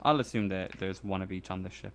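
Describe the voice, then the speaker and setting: A man's voice: deep voice, fairly even intonation, quick pace. A man acting as game master, narrating and explaining rules in an informal, conversational way. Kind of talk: casual tabletop game narration and rulings. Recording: faint background noise.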